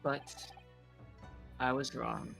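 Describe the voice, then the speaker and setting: Man acting a creaky-voiced earnest young lawyer. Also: mid-range voice, drawn-out words, a bit bright, soft background music, exaggerated voice. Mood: sincere. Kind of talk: amateur fan dub of a video game.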